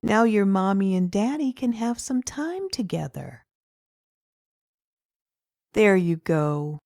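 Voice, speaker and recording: Woman as a slow, mellow, doting mother. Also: mid-range voice, animated, no echo, studio quality. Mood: tender. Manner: soft, affectionate, cooing. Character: tender, doting mother of a small girl. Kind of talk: comic dub acting.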